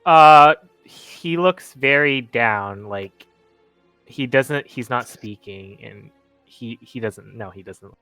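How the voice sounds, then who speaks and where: A male game player speaks casually and haltingly. His voice is medium in pitch, bright and clear, with faint music behind. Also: no room sound.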